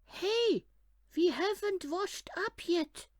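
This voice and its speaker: A woman voicing an excitable, stubborn young rabbit in a children's storybook. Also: extremely high pitch, slow, no room sound, clean studio sound, pitched above the performer's own voice, full character voice. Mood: excited.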